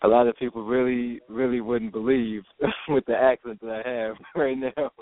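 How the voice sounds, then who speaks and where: A man from Jamaica, a guest in an interview, talking haltingly with many restarts. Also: mid-range voice, steady tone, dry recording. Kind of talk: casual interview talk. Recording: phone line, dry.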